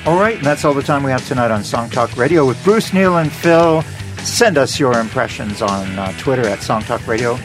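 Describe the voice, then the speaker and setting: A male radio host signs off from the studio, running through plugs and thank-yous with a dry, joking edge. His voice sits at an ordinary male pitch. A music bed plays clearly underneath. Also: expressive. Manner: wry, reads out plugs and thanks briskly. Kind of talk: radio talk show wrap-up.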